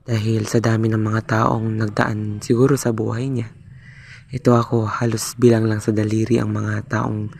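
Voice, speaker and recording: A young man voicing a shy, insecure student who narrates in a soft, self-doubting way. His voice is flat and even, at a middle pitch. A faint hiss runs under it. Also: creaky. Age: young adult. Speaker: narrator and lead character. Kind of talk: student radio drama narration.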